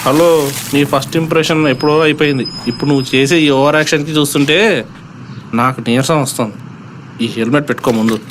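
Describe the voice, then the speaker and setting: Man playing a quick, sarcastic college sidekick. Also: mid-range voice, steady tone, background noise, natural voice. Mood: mocking, weary. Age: young adult. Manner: dry, mocking banter. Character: sarcastic, teasing young male college sidekick. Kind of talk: Telugu comedy audio drama acting.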